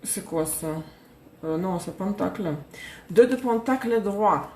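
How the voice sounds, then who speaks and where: A woman's voice: mid-range voice, lively intonation, slow pace, dark tone. A woman reading tarot cards on a live stream, chatty, laughing and correcting herself as she goes. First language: Russian. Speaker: tarot reader. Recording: echoey room.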